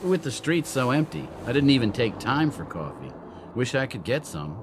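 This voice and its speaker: A man's voice: medium pitch, sing-song, normal speed, background noise, lightly acted. An actor playing an uneasy but polite young man in a radio drama. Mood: friendly, casual.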